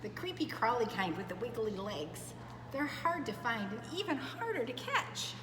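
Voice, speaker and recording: A woman's voice: on the high side, very animated, moderate pace. A woman voicing a tiny, cute female bush baby in a storybook, speaking earnestly and expressively. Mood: earnest, wistful. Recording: echoey reverberant room, clear background noise.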